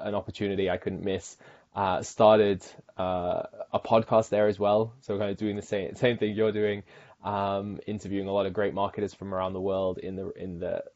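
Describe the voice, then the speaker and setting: A man's voice: deep voice, a little mellow, lo-fi sound. A man from the UK, a marketing director, talking casually as an interview guest with frequent fillers and restarts.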